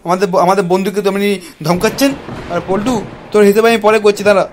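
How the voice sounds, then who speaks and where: A man's voice: high voice, fairly even intonation, very fast, slight background noise, natural voice. A man voicing a bossy, combative young cartoon fellow, coarse and blustering. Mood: threatening. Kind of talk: comic cartoon dialogue.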